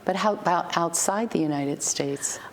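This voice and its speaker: A woman's voice: lowish voice, normal speed. Encouraging woman TV host. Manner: encouraging, conversational questions. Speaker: TV talk show host. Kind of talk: TV interview.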